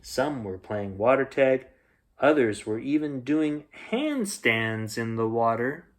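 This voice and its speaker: Male storybook narrator, slow pace, animated pitch, dramatic pauses. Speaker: bedtime story reader. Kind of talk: expressive children's storybook narration.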